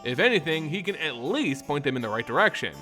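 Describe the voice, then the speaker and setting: A man narrating a scripted fantasy story and recap in a dramatic, expressive way. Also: fairly high pitch, fast talker, soft background music. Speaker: narrator and host of a lore channel.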